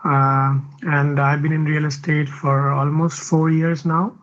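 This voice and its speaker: A man from Canada speaks in a fairly high voice with little movement in pitch. His delivery is earnest and measured. The sound is dull and lo-fi. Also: flat delivery. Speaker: panelist, realtor. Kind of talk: conversational panel talk.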